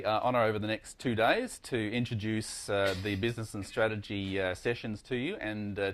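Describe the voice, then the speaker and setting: Low-voiced man introducing a conference session. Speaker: conference track chair introducing a speaker. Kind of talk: informal live session introduction.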